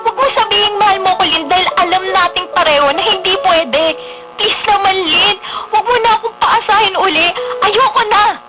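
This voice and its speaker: A woman's voice: very high-pitched, moderate pace, over music, low-quality recording. An actress with a Filipino accent playing a guarded, heartbroken young woman in a radio drama, hesitant and pleading. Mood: anguished, pleading. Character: heartbroken, guarded young woman pushing away her love. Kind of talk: emotional radio drama acting.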